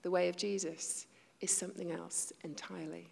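A woman preaching a sermon to her congregation, talking conversationally, poking fun at herself, and dropping into hushed asides. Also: on the low side, level tone, moderate pace, creaky. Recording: long hall reverb.

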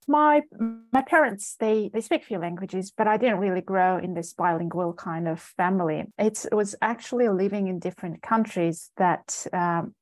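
A woman's voice: mid-range voice, normal speed, hardly any pauses, soft background music. A young adult woman, a native Azerbaijani speaker from Ukraine, speaking as an interview guest in casual conversation, hesitant with frequent fillers and restarts. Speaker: guest data scientist.

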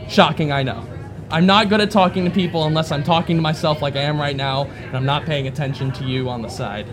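A man guest at a live podcast in a bar, joking dryly and poking fun at himself. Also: on the high side. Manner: dry, self-deprecating humor. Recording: live bar crowd noise.

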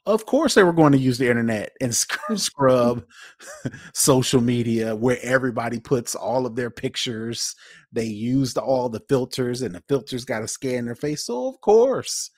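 A man co-hosting a podcast, giving casual, opinionated commentary with emphatic, deliberate phrasing. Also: mid-range voice, expressive, no echo, studio quality. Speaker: podcast co-host.

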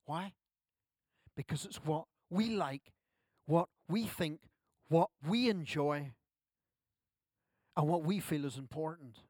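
A man's voice: fairly high pitch, slow pace, long pauses, darkish tone, no room sound, studio quality. A man, preaching a sermon at a church service in a conversational way with self-deprecating asides. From Ireland. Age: 60s. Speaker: pastor.

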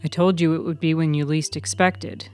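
Lady narrator doing an awkward dry-witted guy, measured and reassuring, story podcast, faint music. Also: low voice, steady tone, a little mellow, lightly acted. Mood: dry, confident. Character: Tripp, dryly witty, awkward, reassuring male friend.

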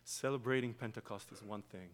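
A man from Israel with an Israeli accent, a native Hebrew speaker, giving a slow, reflective guest sermon in a church. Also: on the low side, a little hiss or hum. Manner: slow, reflective, with long pauses and soft trailing phrases. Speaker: guest preacher. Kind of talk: meditative sermon with chanted Hebrew opening.

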